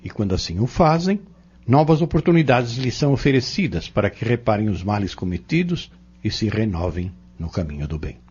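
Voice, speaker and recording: A Brazilian man acting in an audio novel as a patient, earnest Spiritist teacher, explaining doctrine in measured, emphatic phrases. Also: fairly low pitch, lively intonation, darkish tone, slightly rough. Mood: earnest, instructive. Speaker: Spiritist instructor in an audio drama. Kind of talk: didactic audio-novel dialogue. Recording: dull, lo-fi audio.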